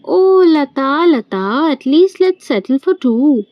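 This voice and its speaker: A woman's voice: sits high, lively intonation, measured pace, muffled recording, voice pushed up. A woman reading the part of a playful, cajoling young man in an amateur audio play.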